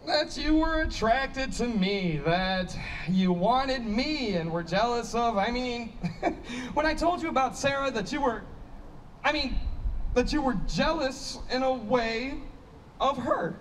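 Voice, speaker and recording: High-voiced, sheepish young man acting. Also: lively intonation, heavily acted. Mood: awkward. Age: young adult. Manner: halting, sheepish. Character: awkward, apologetic straight man, guilty needy friend. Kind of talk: staged play reading. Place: small outdoor stage by a block wall. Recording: distant outdoor stage pickup, faint ambient noise.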